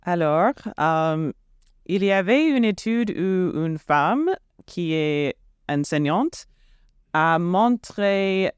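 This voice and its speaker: A man interviewing a guest on a podcast, speaking haltingly with frequent fillers. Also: high voice, animated, smooth, dry recording. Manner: slow and halting, frequent "euh". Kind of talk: interview questions and reactions.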